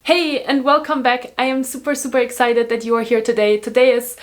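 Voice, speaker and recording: A woman's voice: fairly high pitch, flat delivery, brisk, soft background music. Upbeat German woman vlogging to camera. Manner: upbeat, repeats words for emphasis, frequent ums. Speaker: YouTuber. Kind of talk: casual talking-to-camera vlog. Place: indoors, seated in front of a camera.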